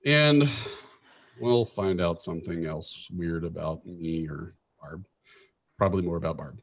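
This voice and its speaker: A man's voice: deep voice, unhurried. A man co-hosting a casual podcast, teasing his co-host and joking around. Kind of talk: casual banter.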